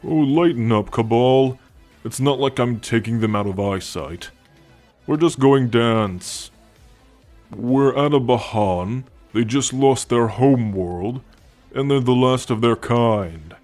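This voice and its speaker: Man acting a slow, teasing alien soldier. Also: mid-range voice, darkish tone, faint music behind, natural voice. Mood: flirty, coaxing. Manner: wry, flirtatious, laid-back ribbing. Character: easygoing, teasing male alien tank soldier. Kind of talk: ASMR roleplay acting.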